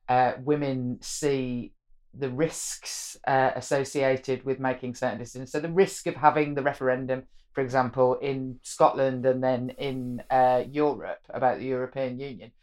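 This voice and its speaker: A woman's voice: fairly even intonation, moderate pace, a little mellow. A woman politician, talking frankly in a casual podcast chat. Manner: frank, swears casually, says she has a deep voice for a woman.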